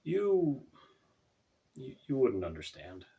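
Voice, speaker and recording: A man voicing a conflicted, hesitant male killer with dark magic in a fantasy storybook, halting and uneasy, recorded away from the microphone. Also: mid-range voice, huge pitch swings, vocal fry, far from the mic, dull audio, voice pushed up, heavily acted.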